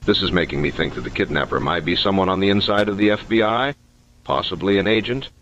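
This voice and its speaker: A man acts a sceptical investigator with a deep voice, dictating case notes in an analog horror story. He is deliberate and analytical, on a dull recording with faint noise behind him. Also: fairly bright tone. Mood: analytical, wary. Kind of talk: scripted analog horror narration.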